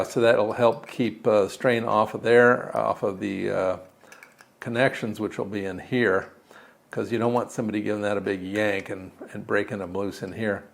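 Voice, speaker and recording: A man giving a casual hands-on DIY tutorial, unscripted and halting, thinking aloud as he explains. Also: lowish voice, gravelly. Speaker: DIY electronics video maker.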